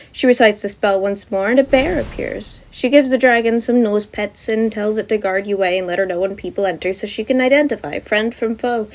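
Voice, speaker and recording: A woman's voice: mid-range voice, a little mellow. A woman reading scripted story narration as a podfic narrator.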